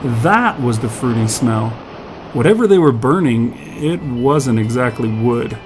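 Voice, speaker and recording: A man's voice: mid-range voice, lively intonation, measured pace, over music, poor audio. A man narrating scripted fiction as a storyteller.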